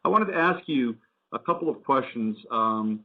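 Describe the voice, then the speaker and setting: A man hosting a radio interview, talking in a halting way with many fillers as he asks his questions. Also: medium pitch, animated. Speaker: radio show host. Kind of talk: interviewing, conversational. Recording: tinny phone-like sound.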